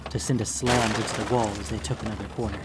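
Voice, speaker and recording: A man's voice: on the low side, flat delivery, fast, background noise. A man reading a scripted fantasy story as an audiobook narrator, in a dramatic storytelling style. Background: background music.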